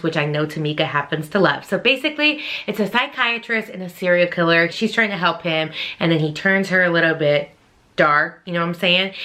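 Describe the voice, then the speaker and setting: A woman in her thirties from Texas with a Texan accent, chatting quickly and casually to camera about books she wants to read. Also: fairly low pitch, sharp tone. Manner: chatty, quick, addresses viewers as y'all. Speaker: book vlogger.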